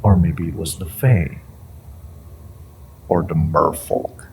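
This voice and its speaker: Man acting a slow, deep, sinister inhuman interrogator. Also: vocal fry, darkish tone, slight background noise, full character voice. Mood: mocking, probing. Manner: theatrical, taunting, drawn-out phrasing with pauses and whispers. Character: sinister inhuman male interrogator, mind-reading monster, mocking and menacing. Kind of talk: dramatic audio roleplay monologue to the listener.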